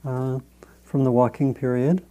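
A man leads a guided meditation in a soft-edged, relaxed voice of medium pitch. He speaks very slowly and gently, leaving long pauses between short phrases as he gives instructions as a teacher. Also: poor audio.

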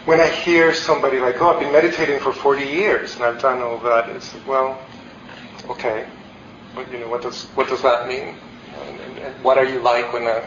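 A man's voice: medium pitch, noisy background. A man in his forties from El Salvador giving a candid, reflective meditation talk, with many fillers and restarts. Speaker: meditation teacher. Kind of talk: dharma talk. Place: meditation hall. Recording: roomy, slightly dull hall recording.

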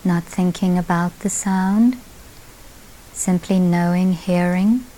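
A woman meditation teacher leading a guided meditation at a retreat, speaking calmly in short phrases with long pauses. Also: on the low side, rough recording. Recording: steady background hiss.

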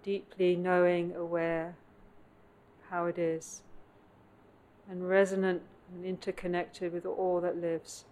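A woman meditation teacher leading a guided meditation in contemplative, measured phrases with long pauses, recorded live at a meditation center. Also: lowish voice, level tone, darkish tone. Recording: clear background noise, live room ambience.

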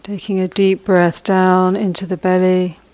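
A woman meditation teacher leading a guided meditation, speaking soft, gentle instructions with long pauses between phrases. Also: on the low side, flat delivery, poor audio.

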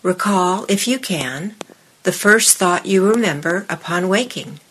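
A woman meditation teacher speaking to a group in measured, deliberate short phrases with pauses, her voice husky and breathy. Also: lowish voice, lively intonation, a bit bright, slight background noise, rough recording. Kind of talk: guided meditation and dharma talk to a group in the room.

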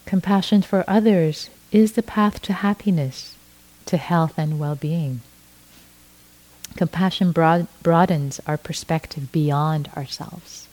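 A middle-aged woman from Iran, a native Farsi speaker, giving a gentle dharma talk as a meditation teacher, often restarting her phrases. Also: low-pitched, rough recording. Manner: slow, gentle, with frequent restarts.

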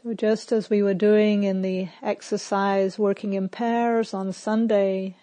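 A woman meditation teacher leading a guided meditation in short, measured phrases with long pauses. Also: mid-range voice, level tone, dark tone.

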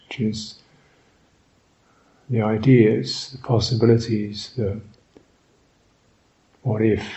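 A man guiding a meditation as a Buddhist teacher, speaking reflectively in short, halting phrases with long pauses. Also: medium pitch, mellow, lo-fi sound. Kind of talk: guided meditation, unscripted and reflective.

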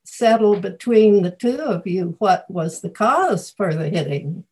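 Slow-speaking woman in casual discussion. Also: mid-range voice, animated, a little mellow. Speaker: group participant asking questions.

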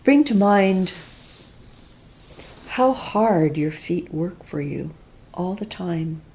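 A woman with a dark, relaxed, fairly low voice leads a guided meditation. She speaks very slowly and gently, leaving long pauses between short, reflective instructions. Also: poor audio. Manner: unhurried, gentle, reflective phrasing. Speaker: meditation teacher leading a guided body scan.

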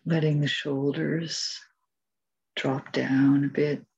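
A woman leading a guided meditation as its teacher, giving gentle, measured instructions with long pauses. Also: deep voice, flat delivery, gravelly, breathy edge, muffled recording.

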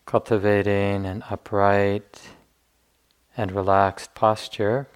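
A man leading a guided meditation as a teacher, speaking gently in unhurried phrases with long pauses. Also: lowish voice, flat delivery, clear, resonant tone.

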